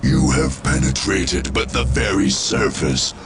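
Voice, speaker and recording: Abyssally deep undead machine lord. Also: male voice, lively intonation, noisy background. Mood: menacing, scornful. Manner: slow, ominous, portentous declaiming. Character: ancient undead machine lord, malevolent, grandiose, contemptuous. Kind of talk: theatrical video game villain acting.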